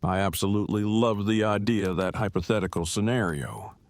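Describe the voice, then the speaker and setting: A man's voice: lowish voice, fairly quick, dry recording, natural voice. A man playing an earnest, bookish husband in an audio drama, speaking in formal, precise, wordy phrases. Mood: earnest, careful. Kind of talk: fan audio drama acting.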